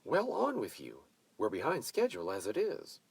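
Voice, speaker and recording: A man's voice: fairly low pitch, wildly swooping pitch, gravelly, darkish tone, slightly rough, no echo, pitched above the performer's own voice, heavily acted. A man putting on a gushing, bossy older hostess. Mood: commanding. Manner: brisk, commanding, gushing. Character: bossy, effusive mature woman hostess, gushing.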